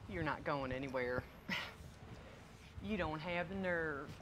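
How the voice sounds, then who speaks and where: An actress playing a cautious, scolding older sister in a stage play, blunt and exasperated. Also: female voice, deep voice, takes it slowly, a bit bright, a little hiss or hum. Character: scolding, cautious, embittered older sister, set in her ways.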